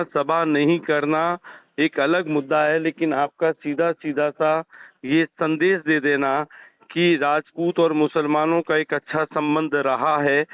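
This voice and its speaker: A man's voice: fairly high pitch, clean tone, no echo. Persistent male interviewer on phone. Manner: polite but persistent, frequent acknowledgements. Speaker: journalist interviewer. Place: telephone call. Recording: dry, narrow phone call recording.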